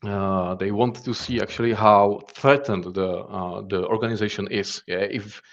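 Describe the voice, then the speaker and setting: Czech guy doing a conference talk, lowish voice, hesitant and repeats himself, kinda dull muffled sound. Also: fairly even intonation, normal speed. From Czech Republic. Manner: hesitant, repeats words and phrases. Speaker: threat intelligence specialist giving a conference talk. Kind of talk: conference presentation with demo.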